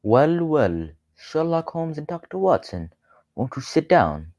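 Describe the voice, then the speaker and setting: A man playing a smug, scheming gentleman villain in a radio mystery, taunting with mock politeness. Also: on the high side, big pitch swings, unhurried, no echo. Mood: smug, mock-friendly. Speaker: actor in a student radio drama. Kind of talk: old-time radio mystery acting.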